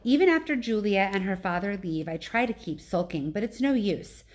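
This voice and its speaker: A woman's voice: medium pitch, lively intonation, normal speed. A woman reading a children's storybook aloud as a teacher, narrating chapter text with brief asides.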